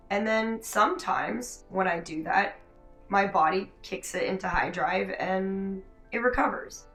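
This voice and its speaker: A young woman with a raspy, hoarse voice, telling a rambling story in a casual vlog. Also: mid-range voice, a bit bright. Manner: chatty, laughing, many likes and restarts. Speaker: vlogger. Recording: roomy echo, faint music behind.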